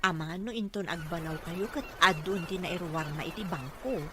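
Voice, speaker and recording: A woman's voice: lowish voice, normal speed, natural voice. A woman with a Filipino accent playing a dutiful, caring caregiver in an Ilocano radio drama. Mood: respectful, encouraging. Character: dutiful, caring female caregiver and working mother. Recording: noisy ambient background.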